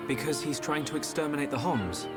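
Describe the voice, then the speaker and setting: An actor playing a thoughtful, gentle young hero in a video game, sincere and questioning. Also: male voice, fairly high pitch, a little fast, loud music behind the voice, close to an everyday voice. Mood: earnest, questioning. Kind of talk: video game cutscene acting.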